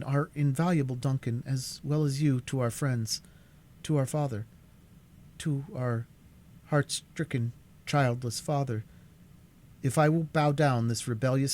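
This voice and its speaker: A man playing a proud elder sister from a storybook, her voice lifted higher, solemn and earnest. She speaks with firm, measured dignity, even under strain. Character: proud, calm elder sister, young woman.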